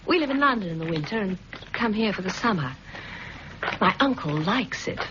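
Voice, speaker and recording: A woman's voice: mid-range voice, expressive, normal speed, noisy background, lightly acted. An actress playing a friendly, chatty young woman in a 1960s radio drama, muffled old broadcast. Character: bright young woman, a colonel's niece.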